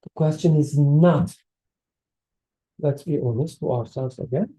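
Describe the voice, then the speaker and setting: Male discussant, unhurried and reflective, dark tone, roomy echo. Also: on the high side. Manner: thoughtful, hesitant, with fillers and restarts. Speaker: discussion participant, researcher. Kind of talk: casual study-circle discussion.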